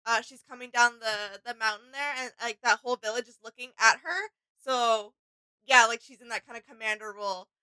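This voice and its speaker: Woman, fairly high voice, bright, dry sound, casual co-host chat, informal. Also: fairly quick, clear, resonant tone, no room sound, low-quality recording. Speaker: reaction video co-host.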